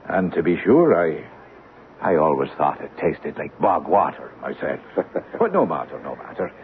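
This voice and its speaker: An actor playing a talkative, superstitious old Irish village barman and postmaster in an old-time radio drama, with a lilting, folksy, rambling way of talking. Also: fairly low pitch. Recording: tinny, noisy old radio broadcast.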